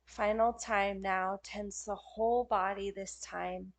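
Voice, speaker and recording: A woman speaks very slowly as she guides a relaxation meditation. Her pitch sits in a medium female range. She gives gentle, soothing instructions and leaves space between short phrases. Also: fairly even intonation. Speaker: meditation teacher. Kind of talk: guided yoga nidra meditation.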